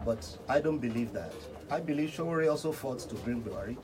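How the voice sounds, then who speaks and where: A Nigerian man speaking as a panel guest in a radio political debate, politely and deliberately. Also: medium pitch, lively intonation. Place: radio studio. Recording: faint background noise.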